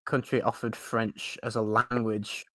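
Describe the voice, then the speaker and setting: A man's voice: on the low side, little pitch movement, a little slow, fairly bright tone, no room sound. A man from Yorkshire with a Yorkshire accent, hosting a casual live-stream chat and answering viewer comments. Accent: Yorkshire English. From Yorkshire, England. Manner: chatty, reads out viewer comments and answers them. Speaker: host.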